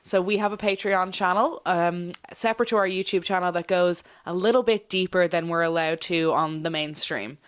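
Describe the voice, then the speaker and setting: A woman's voice: on the low side, normal speed, hardly any pauses, clear, resonant tone. A woman talking as a podcast co-host in a casual, chatty, informal conversational style. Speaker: podcast co-host and ASMR channel presenter.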